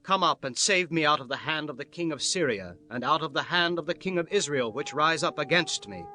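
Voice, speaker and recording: A man's voice: a little high, animated, a little fast, bright, forceful tone. A man playing a commanding ancient king in a dramatized scripture reading, formal and declamatory. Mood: pleading, urgent. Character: commanding ancient king of Judah issuing orders. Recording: music bed under the voice.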